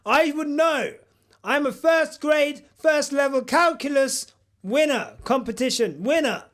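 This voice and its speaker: Man, very high voice, pompous parody newscaster, jokey asides. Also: expressive, unhurried, a bit bright. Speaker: comedic news host. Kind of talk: parody news commentary.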